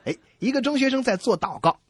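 A man's voice: very high-pitched, lively intonation, quick pace, heavy background noise. A man hosting a comedy radio show, telling jokes and bantering in a playful, exaggerated way. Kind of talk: joke-telling banter between songs.

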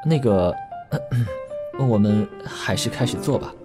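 A man's voice: lowish voice, slow. A man playing a flustered, secretly smitten male shop owner in an audio drama, sighing and muttering to himself. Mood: embarrassed, flustered. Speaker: voice actor in an amateur radio drama. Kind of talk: Chinese audio drama acting. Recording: music behind the voice. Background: background music.

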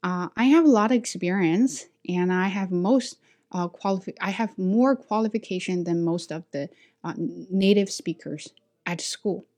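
A woman's voice: on the low side, normal speed, darkish tone. A young woman from China with a Chinese accent, an English teacher casually recounting her experiences with many restarts and fillers. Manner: frequent restarts and filler words, talking through experiences. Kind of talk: casual personal storytelling.

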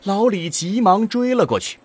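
A man's voice: sits high, expressive, normal speed, a little mellow, husky. A man narrating a scripted science fiction audio drama in a dramatic storytelling style.

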